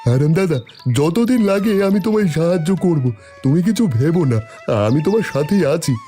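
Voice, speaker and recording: A man playing a cheerful, devoted village sidekick in a cartoon. His voice is high and mellow with a lively swing, and he sounds encouraging, over faint music. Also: normal speed, close to an everyday voice. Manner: animated, encouraging. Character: loyal, cheerful younger village man, farmer's devoted helper. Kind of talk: cartoon voice acting. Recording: soft music behind the voice.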